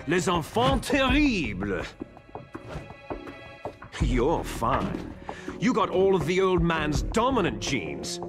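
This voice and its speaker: Slow, swooping, theatrical villain. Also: male voice, mid-range voice, heavily acted. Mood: bitter. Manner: theatrical, smug, drawn-out menacing delivery. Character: bitter, taunting villain, soldier twin brother of the hero. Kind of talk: dramatic video game cutscene acting. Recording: loud game music behind the voice.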